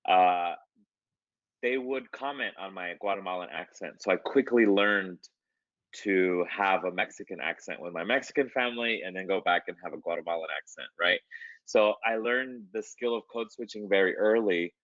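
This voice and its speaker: A man with a low voice gives loose, conversational interview answers full of fillers and restarts. The sound is muffled and dry, with no room around it. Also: moderate pace, a bit bright, no echo. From United States. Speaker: artist.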